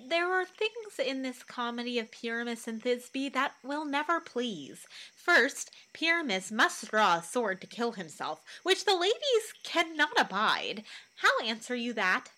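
Boastful weaver and would-be actor played by a woman, high, lively, forceful voice, Shakespeare radio comedy. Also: measured pace, exaggerated voice, low-quality recording. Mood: self-assured. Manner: theatrical, self-important, emphatic. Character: Bottom, bossy, boastful amateur-actor weaver, male. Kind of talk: Shakespeare radio play acting.

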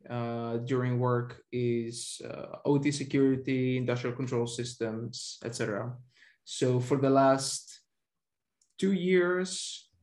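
A man from Greece, speaking casually as a technical guest on a podcast. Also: medium pitch. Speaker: podcast guest, OT security specialist. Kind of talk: casual explanatory chat.

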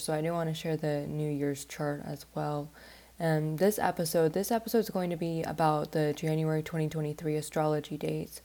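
A woman's voice: low voice, mellow. A woman hosting an astrology podcast in a chatty, rambling monologue, sounding stuffed up as she gets over the flu. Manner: rambling and chatty, with many "like" fillers.

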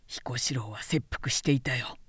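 A woman's voice: rumbling low, a little slow, slightly rough, dry recording, studio quality, voice pushed down, heavily acted. A woman voicing an agitated male samurai colleague in an audiobook, questioning in an urgent, blunt yet formal manner. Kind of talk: literary audiobook character reading.

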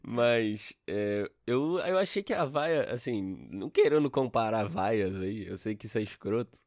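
A man's voice: on the low side, lively intonation, unhurried, darkish tone, dry recording, studio quality. A young man co-hosting a casual football chat, rambling with restarts and slang. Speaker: podcast co-host.

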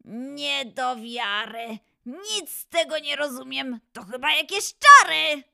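A woman's voice: sits high, lively intonation, slow, vocal fry, bright, forceful tone, full character voice. A woman voicing a curious, kind-hearted little boy lion in a children's audio fairy tale, exclamatory and wide-eyed. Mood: surprised, concerned.

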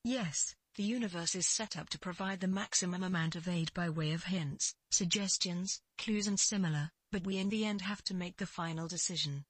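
Husky-voiced narrator reading spiritual advice. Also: mid-range voice, moderate pace, few pauses, no echo. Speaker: spiritual advisor answering a letter.

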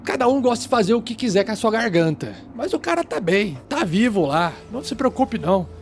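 A man's voice: high voice, very animated, a little hiss or hum. A man playing a fussy, suspicious germaphobe recluse in a tabletop roleplay, scolding and bossing theatrically. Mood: impatient. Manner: scolding, dramatic exclamations, drawn-out orders. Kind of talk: improvised tabletop roleplay acting. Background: background music.

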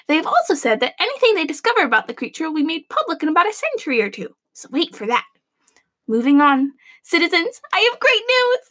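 A woman's voice: very high-pitched, sharp tone, heavily acted. A woman playing an eager, theatrical government radio announcer in a comic dystopian audio drama. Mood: bubbly.

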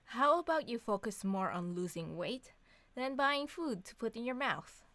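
A woman playing a sharp-tongued, bossy wife and mother who teases bluntly in a scripted audio comedy drama. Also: fairly high pitch, big pitch swings, moderate pace, no echo. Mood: dry teasing. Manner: sarcastic, bantering, blunt.

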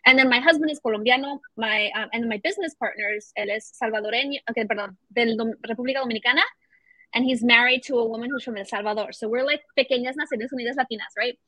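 A woman's voice: fairly high pitch, fast, bright, forceful tone, no room sound, rough recording. A woman from Venezuela, a podcast guest who talks with enthusiasm and slips Spanish phrases into her English. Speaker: guest, founder of a children's media brand. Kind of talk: podcast interview.

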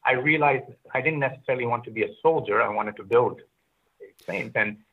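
A middle-aged man from Pakistan who grew up in Oman, telling his story as an interview guest in a reflective, halting way. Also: mid-range voice, breathy edge, narrow, tinny audio. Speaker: interview guest, business founder. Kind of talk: conversational personal storytelling.

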